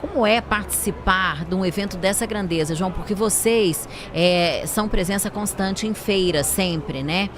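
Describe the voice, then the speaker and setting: A woman's voice: medium pitch, moderate pace, few pauses. A woman with a Brazilian accent hosting a conversational podcast interview. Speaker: podcast host. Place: busy trade fair stand. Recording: clear urban ambient noise at trade fair.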